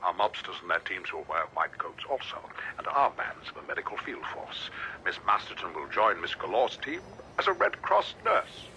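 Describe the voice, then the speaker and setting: An actor playing a coldly formal criminal mastermind in a radio play, with a measured, imperious manner. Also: male voice, sits low, big pitch swings, pushed and bright, a little hiss or hum, full character voice. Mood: coldly commanding. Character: commanding, coldly formal criminal mastermind, middle-aged man. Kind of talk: radio drama acting.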